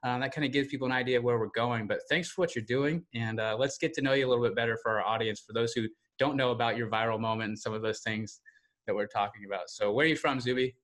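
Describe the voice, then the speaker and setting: A man's voice: medium pitch, fairly bright tone. An American man hosting a podcast, chatting casually with lots of fillers and rambling asides. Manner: rambling, many fillers, fast. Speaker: podcast host. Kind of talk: casual interview chat.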